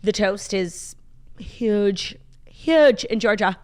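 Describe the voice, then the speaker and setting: A woman co-hosting a casual morning talk show, excitable and gushing, with casual swearing. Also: mid-range voice, extremely slow. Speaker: podcast co-host. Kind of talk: casual chat.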